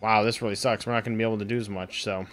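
A man in his thirties with a raspy, sore voice, rambling through casual live-stream commentary at home with lots of ums. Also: lowish voice, fairly even intonation, very fast, a bit bright, soft background music. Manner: rambling, full of ums and uhs. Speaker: game streamer.

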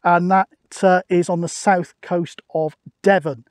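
A man's voice: high-pitched, fairly even intonation, measured pace, no echo, studio quality. A British man chatting casually and matily outdoors, as a radio hobbyist making a video. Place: outdoors on an open hilltop.

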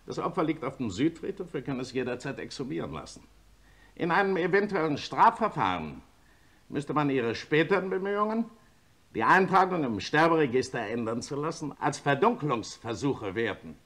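An actor playing a stern chief prosecutor in a German radio play, formal, incisive and accusing. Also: male voice, on the high side, very animated, normal speed, fairly bright tone.

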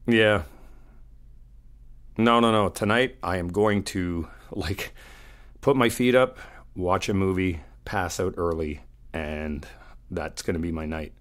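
A man playing an easygoing, flirty hotel guest in an audio roleplay, chatting casually and haltingly in one-sided phone talk. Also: deep voice. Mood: casual, weary. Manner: casual, halting, slangy, swearing.

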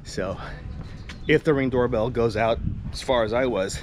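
A man's voice: medium pitch, moderate pace. A man in his forties rambling and joking through a casual walking tour vlog, filmed on an action camera held at arm's length outdoors. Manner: rambling, jokey, chatty self-talk. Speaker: vlogger. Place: outdoors on a sunny residential street. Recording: outdoor street noise. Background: street ambience and passing cars.

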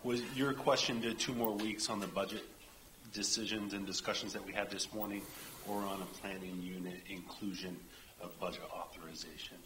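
A man's voice: low voice, steady tone, noisy background. A man from the county executive's office answering council questions precisely at a podium microphone in a council chamber. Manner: quick, precise.

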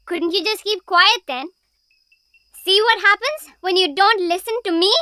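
A woman's voice: extremely high pitch, a little slow, bright, forceful tone, no echo, heavily acted. A woman voicing a small, exasperated cartoon animal wife who scolds melodramatically.

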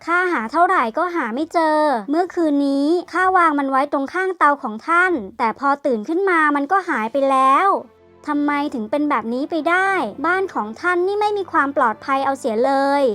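A woman voicing a sly, smooth-talking female fox trickster in a children's storybook. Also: high voice, lively intonation, brisk, bright, forceful tone, faint music behind, pitched above the performer's own voice. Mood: accusing, pushy.

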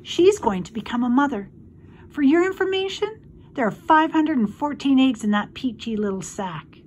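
Woman voicing a high, indignant young pig. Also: animated, moderate pace, darkish tone, a little hiss or hum. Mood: indignant, proud. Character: young male pig, earnest, indignant, proud.

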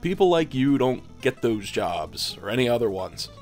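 A man playing a firm, cynical agent in an animated short, talking bluntly and dismissively. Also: medium pitch, normal speed, soft background music, close to an everyday voice. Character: firm, cynical male agent handling a reluctant employee. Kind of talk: animated short film dialogue.

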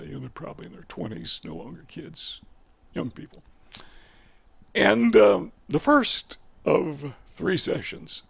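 Raspy, gravelly older man explaining. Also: a little high. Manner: folksy, digressive, pausing to correct himself. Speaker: video educator. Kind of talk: casual explanatory monologue. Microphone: headset mic.

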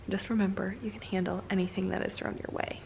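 An American woman in her thirties talks to her viewers in a casual, conversational way. Her voice sits at a middle pitch. She sounds like a lifestyle vlogger recording inside her house. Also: level tone, fast, vocal fry, darkish tone, slight background noise. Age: 30s.

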